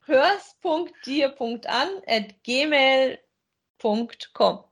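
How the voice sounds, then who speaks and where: A woman's voice: sits high, expressive, extremely slow, a bit bright, slightly rough. A woman chatting casually as co-host of a podcast that reviews audio dramas.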